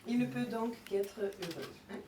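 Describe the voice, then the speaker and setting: A woman's voice: fairly high pitch, moderate pace. A woman from Belgium presenting a comic album from a prepared script. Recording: echoey, distant, with faint noise.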